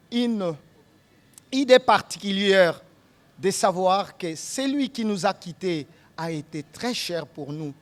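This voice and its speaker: A man with a Congolese accent leading a solemn prayer at a memorial gathering, speaking in measured phrases; his first language is Tshiluba. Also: high voice. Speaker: master of ceremonies leading prayer.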